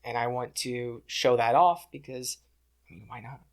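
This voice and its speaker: A man's voice: mid-range voice, moderate pace. A young man talking earnestly and at length as a guest in a casual podcast interview. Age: young adult.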